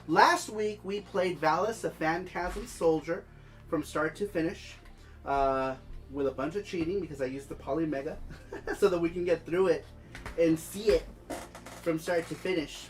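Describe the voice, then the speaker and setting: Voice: medium pitch, a little slow. Stuffy-nosed livestream host over music. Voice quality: congested, stuffy nose. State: head cold. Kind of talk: casual gaming livestream chat, reading viewer comments. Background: background music.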